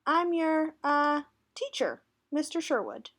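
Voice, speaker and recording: A woman's voice: sits high, very animated, slow pace, a bit bright, full character voice. A woman voicing a nervous, forgetful male armadillo teacher in a picture book, hesitant and bashful. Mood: nervous, sheepish. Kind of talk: picture book read aloud.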